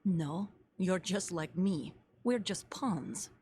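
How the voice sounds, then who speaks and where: A woman's voice: on the low side, expressive, slow, darkish tone, slightly rough, heavily acted. An actress playing a guarded female soldier and grieving mother in a video game, grave, terse and commanding. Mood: serious, explanatory. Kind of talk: dramatic video game voice acting.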